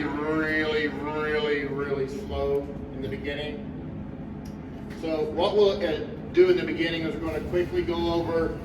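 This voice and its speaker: A man teaching a firearms class at an indoor range, upbeat and playful as he calls out commands. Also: a little high. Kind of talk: loud instructions to a class. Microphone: amplified voice. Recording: echoey, distant, noisy range.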